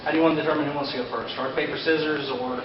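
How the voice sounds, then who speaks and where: A man's voice: fairly high pitch, steady tone, racing. A man presenting at a fan convention, chatting casually as he sets up and plays a card game. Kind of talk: casual chat while setting up and playing a card game. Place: convention panel room, indoors. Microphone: camera at a distance. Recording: echoey, muffled distant camera mic, crowd chatter.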